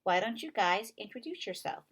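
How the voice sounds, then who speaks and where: A woman's voice: mid-range voice, lively intonation, normal speed, husky, voice pushed up. A woman voicing a polite, welcoming woman who leads a children's support group, in a children's novel read aloud.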